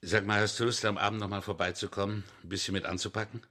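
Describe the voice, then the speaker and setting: An actor playing a good-natured, tough bouncer and former wrestler in a radio drama, friendly and casual. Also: male voice, fairly low pitch, steady tone, quick pace, sharp tone. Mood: friendly, inviting.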